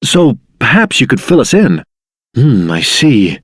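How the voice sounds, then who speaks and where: Casting: earnest, cautioning adventurer for a game, husky, animated intonation. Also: male voice, mid-range voice, measured pace, dry recording, clean studio sound, full character voice. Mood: pondering. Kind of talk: video game character acting.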